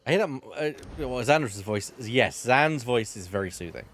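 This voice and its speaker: A man hosting a charity game stream, chatting in a relaxed, rambling way with a soothing voice. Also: mid-range voice, fairly quick, slight background noise. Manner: relaxed and rambling, with frequent restarts and "um". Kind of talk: casual chat while playing a game.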